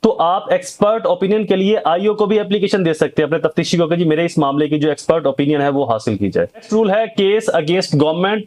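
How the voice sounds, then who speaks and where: Male lecturer, Pakistani accent, moderately high pitch, methodical instructive delivery with English terms mixed in. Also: a little fast, hardly any pauses, a little mellow. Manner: code-switches between Urdu and English terms. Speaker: host of a legal education channel, lecturer. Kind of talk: explanatory legal lecture.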